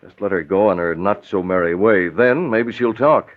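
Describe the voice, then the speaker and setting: An actor playing a confident, calculating publisher and masked vigilante in an old radio drama. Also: male voice, on the low side, moderate pace, lightly acted. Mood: confident, decisive. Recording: muffled, faintly noisy old radio recording.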